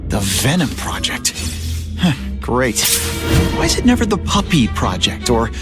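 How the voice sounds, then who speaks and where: An actor voicing a young male masked superhero, sarcastic and quick with jokes but firm. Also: medium pitch, wildly swooping pitch. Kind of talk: video game cutscene voice acting. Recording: game audio with loud music.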